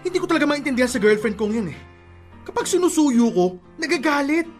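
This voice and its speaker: A man's voice: extremely high pitch, fast talker, faint music behind. A man with a Filipino accent acting a teasing, pushy young cousin in a radio drama. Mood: exasperated, loud. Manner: animated, ribbing, bossy. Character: teasing, pushy young male cousin giving love advice.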